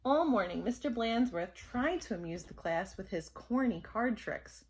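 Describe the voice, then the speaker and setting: A woman storyteller reading a children's book aloud in an expressive, dramatic style. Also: a little high, unhurried, a little hiss or hum.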